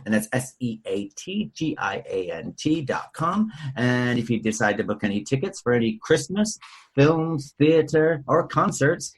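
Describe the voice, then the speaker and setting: A man's voice: medium pitch, rough recording. A man hosting a podcast in a casual, chatty style. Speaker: podcast host. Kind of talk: casual chat.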